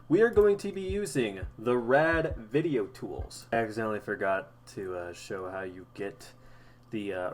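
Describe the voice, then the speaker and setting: A man hosting a casual software tutorial, explaining step by step in an informal, halting way. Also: fairly low pitch, slight background noise. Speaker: tutorial host.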